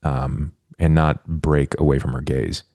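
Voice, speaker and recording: Low-voiced man playing a tabletop game. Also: little pitch movement, measured pace, mellow, dry recording, clean studio sound. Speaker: player in a tabletop roleplaying game. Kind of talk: casual, thoughtful game talk.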